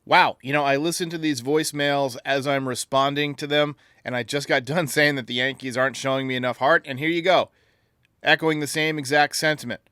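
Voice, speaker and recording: Bright, clear-voiced male sports podcast host. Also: a little high, steady tone, a little fast, no room sound. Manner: opinionated, critical, emphatic. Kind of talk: sports commentary, answering listener voicemails.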